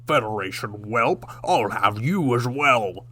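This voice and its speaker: Forceful, menacing alien warlord. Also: male voice, mid-range voice, lively intonation, slow pace, breathy edge, exaggerated voice. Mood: scornful threats. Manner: pompous, menacing, declamatory. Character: blustering, threatening Klingon warship captain, an alien warrior. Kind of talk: sci-fi radio drama acting.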